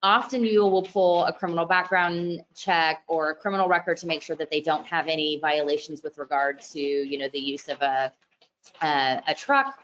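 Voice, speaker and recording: A woman lawyer presenting a compliance webinar from her company's office, speaking in a loud, conversational, explanatory way with frequent fillers and self-corrections. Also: lowish voice, dull audio. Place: office at company headquarters, heavy rain outside.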